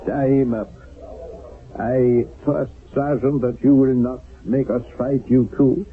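A man's voice: mid-range voice, steady tone, lightly acted. Man acting a stern village leader, phone-thin radio sound. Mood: grave, resolute. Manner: formal, deliberate, declamatory. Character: stern formal village leader passing judgment in wartime. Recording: muffled narrowband old radio recording, noisy.

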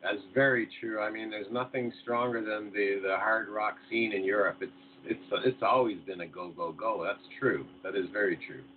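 A man's voice: lowish voice, normal speed, few pauses, slight background noise. Man talking over a tinny phone line. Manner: friendly, relaxed, with fillers. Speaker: musician guest. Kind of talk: phone interview.

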